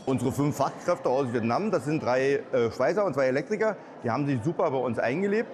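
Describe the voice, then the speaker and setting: A man's voice: medium pitch, expressive, a little fast, a little mellow. A man speaking colloquially and spontaneously in an interview as a regional business owner. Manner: colloquial, plain-spoken. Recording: busy crowd noise behind.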